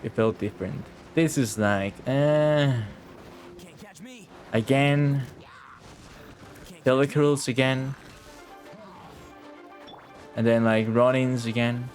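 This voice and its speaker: A man's voice: mid-range voice, fairly even intonation, takes it slowly, long pauses, faint music behind. A man giving casual, offhand live game commentary, swearing and muttering to himself as he plays. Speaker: game streamer.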